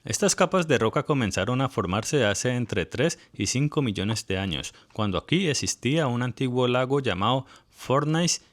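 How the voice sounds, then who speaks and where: A man's voice: mid-range voice, lively intonation, normal speed, hardly any pauses. A man reading a polished, lightly witty scripted voice-over for a travel video. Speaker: narrator.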